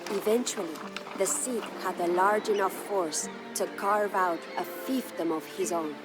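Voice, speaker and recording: An actress playing a devoted, sorrowful medieval Spanish noble wife who narrates her husband's legend in a solemn, measured way for a game. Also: mid-range voice, close to an everyday voice. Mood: hopeful, solemn. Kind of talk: scripted dramatic storytelling narration. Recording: studio narration over prominent music.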